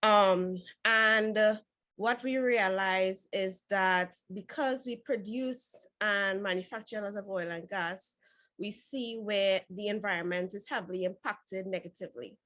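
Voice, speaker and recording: A woman's voice: mid-range voice, measured pace, fairly bright tone. A young woman with a Trinidadian accent, explaining as a specialist on an online panel. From Trinidad and Tobago. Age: young adult. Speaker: circular economy specialist, panelist.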